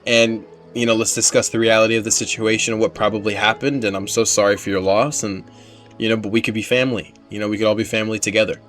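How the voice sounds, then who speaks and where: A man's voice: lowish voice, little pitch movement, fast talker, a bit bright, soft background music. A man giving casual gameplay commentary as a streamer, slangy and emphatic, often repeating himself for weight. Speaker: game streamer.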